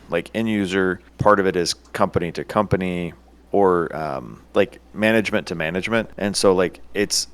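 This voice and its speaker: A man co-hosting an IT podcast, talking casually and leaning on filler words. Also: sits low. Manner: chatty, uses filler words and "right?". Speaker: podcast co-host, IT business owner.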